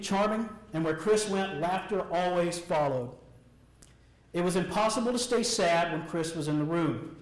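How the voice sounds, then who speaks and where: High-voiced American man giving an earnest speech. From Alabama, United States. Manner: earnest, deliberate, emphatic short phrases with repetition. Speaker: motivational speaker. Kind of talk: rehearsed personal testimony speech to an audience. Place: echoey room, speaking before an audience. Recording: echoey room sound.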